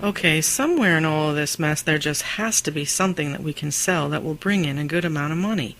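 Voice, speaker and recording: A woman acting as a practical, teasing young wife in a radio drama, expressive and playfully incredulous. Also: low-pitched, fairly quick, faint music behind.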